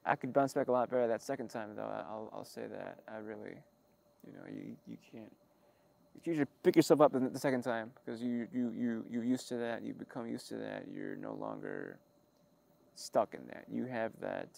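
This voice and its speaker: Halting man with a creaky voice. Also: lowish voice, animated, a little mellow. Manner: halting, self-interrupting, wry and figurative. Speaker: creator of an audio log channel. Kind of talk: rambling personal monologue.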